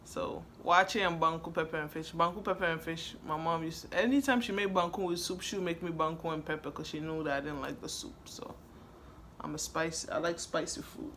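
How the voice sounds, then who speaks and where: Chatty woman with a bright voice. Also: sits low, fairly even intonation, brisk, a little hiss or hum. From Ghana. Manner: laid-back, chatty, laughs easily. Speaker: vlogger answering questions. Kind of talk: casual chat.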